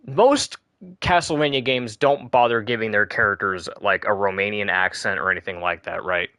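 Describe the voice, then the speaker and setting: A man's voice: lowish voice, normal speed, pushed and bright, smooth, no room sound. A man giving casual, chatty commentary while playing a video game, rambling and often restarting his sentences. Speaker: gameplay commentator. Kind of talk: casual let's play commentary.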